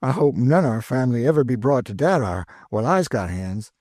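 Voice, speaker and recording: A man's voice: medium pitch, expressive, a little fast, a little mellow, no echo, clean studio sound, pitched above the performer's own voice, natural voice. A man voicing an eager, chatty older woman cook with an in a storybook reading. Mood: delighted. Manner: exclaiming, folksy, eager.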